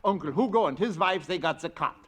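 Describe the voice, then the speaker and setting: A man's voice: high-pitched, lively intonation, brisk, a bit bright. An actor playing a jovial immigrant man who laughs at his own jokes, in a vintage radio sitcom. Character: jolly joking German immigrant man, friend. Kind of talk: 1940s radio sitcom acting.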